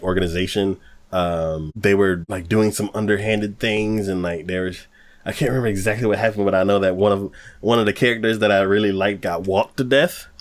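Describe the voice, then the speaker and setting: A man co-hosting an anime podcast, chatting casually and rambling with lots of fillers. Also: deep voice. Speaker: podcast co-host.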